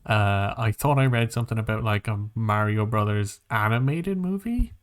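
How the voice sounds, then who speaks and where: A man's voice: on the low side, expressive, normal speed, smooth. A man hosting a casual podcast about games and films, thinking aloud in a hesitant, rambling way. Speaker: podcast host.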